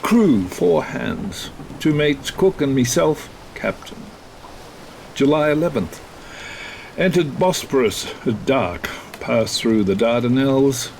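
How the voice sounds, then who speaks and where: A grim ship's captain in a radio horror play. He gives terse log entries slowly and wearily, with clear background ambience around him. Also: mid-range voice, animated, a little mellow, slight background noise, rough recording. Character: stern, weary ship's captain reading his log aloud.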